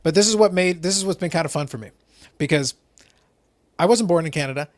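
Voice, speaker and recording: A man hosting a casual hobby talk show, explaining in an animated way, often repeating a phrase as he starts. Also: a little high, quick pace, dry recording, studio quality. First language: not English. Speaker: podcast host.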